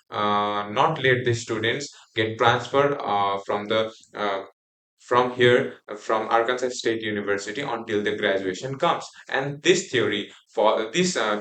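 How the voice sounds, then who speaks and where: A man's voice: medium pitch, fairly bright tone. Hesitant Nepali-accented young man presenting. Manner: hesitant, many fillers and restarts. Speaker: student presenter. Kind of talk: class group presentation. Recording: echoey room.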